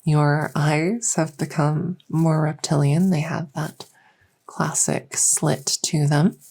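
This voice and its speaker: Woman playing a husky, low-voiced dragon clinician. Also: flat delivery, a little mellow, heavily acted. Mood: clinical, attentive. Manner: unhurried, hesitant, reassuring. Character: gentle, reassuring fairy-dragon clinician doing a check-up. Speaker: roleplay audio performer. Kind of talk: soft medical roleplay ASMR.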